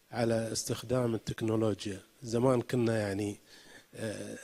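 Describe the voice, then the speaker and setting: A native Arabic-speaking man poses a long, formal question from the floor of a conference hall. He speaks in rapid bursts with a mid-range voice and keeps stopping on hesitant fillers. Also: medium pitch, dark tone. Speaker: audience member asking a question.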